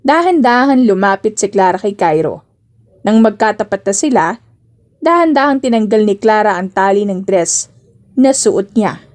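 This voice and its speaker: A woman's voice: mid-range voice, expressive, moderate pace, a little mellow. A woman reading scripted romance narration as an audiobook narrator.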